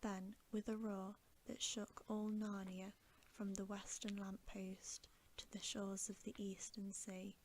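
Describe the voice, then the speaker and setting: A woman reading a story aloud as bedtime ASMR in a soft, breathy whisper, with gentle, hushed and measured narration. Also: medium pitch, flat delivery, slight background noise.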